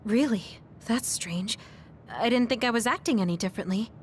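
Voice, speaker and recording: An actress playing a gentle, thoughtful female major in an anime video game dub. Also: fairly high pitch, normal speed, a little hiss or hum, lightly acted. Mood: puzzled.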